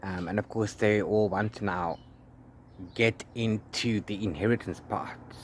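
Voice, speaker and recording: A man talking casually as a book vlogger, rambling in a halting way with repeated words and restarts. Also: fairly low pitch, steady tone. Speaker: book vlogger, read-along co-host. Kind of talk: casual rambling video diary about a book. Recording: clear background noise, ambient sound.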